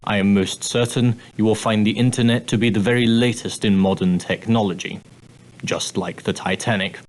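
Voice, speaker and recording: Man acting as straight-laced ocean liner steward, lower-pitched male voice, formal, clipped, dryly sardonic, adventure game dialogue. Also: steady tone, normal speed, close to an everyday voice. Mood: formal, courteous.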